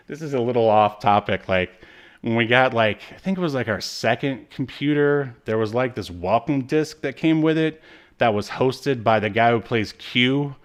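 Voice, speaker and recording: A man's voice: medium pitch, lively intonation, moderate pace. A man co-hosting a podcast, casually recapping in a hesitant, rambling way. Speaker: podcast co-host. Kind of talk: casual chat recapping a TV episode.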